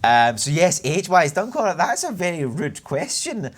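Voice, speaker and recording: A man's voice: fairly high pitch, big pitch swings, moderate pace. A young Scottish man in his twenties, a community manager chatting on a live stream and reading out viewer comments. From Scotland. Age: 20s. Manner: chatty, rambling, reads chat aloud.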